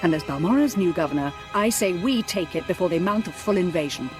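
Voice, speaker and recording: A woman's voice: lowish voice, expressive, brisk, natural voice. Stern, commanding Imperial governor voiced by a woman. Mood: stern, urgent. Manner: formal, clipped, commanding. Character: stern, calculating Imperial governor briefing an agent. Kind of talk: video game voice acting, briefing. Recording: loud music under the voice.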